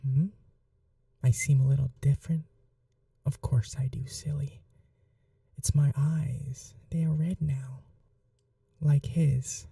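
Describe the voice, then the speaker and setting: A performer voicing a sweet, innocent young vampire prince, a doting younger brother, in breathy, earnest ASMR roleplay. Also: medium pitch, level tone, slow pace, pauses often, warm tone, dry recording, studio quality, distant mic. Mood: reassuring. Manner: breathy, whispery, earnest, affectionate.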